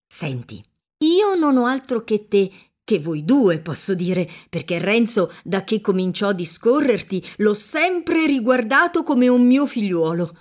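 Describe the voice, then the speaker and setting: A woman's voice: mid-range voice, natural voice. A woman voicing an anxious, loving older peasant mother who talks earnestly and at length. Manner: earnest, fussing, talkative. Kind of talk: audiobook character reading.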